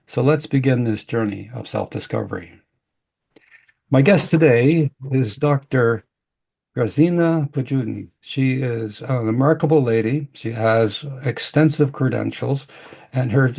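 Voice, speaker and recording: A man's voice: mid-range voice, gravelly, warm tone. A man in his sixties hosting an interview show, opening with a scripted intro and chatting in a folksy, joking way. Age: 60s. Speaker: host.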